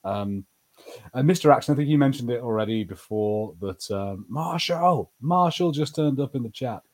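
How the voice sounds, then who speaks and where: A man's voice: mid-range voice, animated, normal speed, a little mellow. A man co-hosting a podcast, chatting casually as he reviews TV shows. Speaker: podcast co-host.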